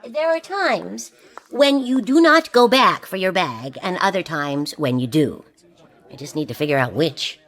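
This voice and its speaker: A woman's voice: fairly low pitch, lively intonation, unhurried, a bit bright, a faint crowd. A woman playing a wistful, regretful television presenter, confiding and wry, in a comic science fiction radio drama. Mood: rueful.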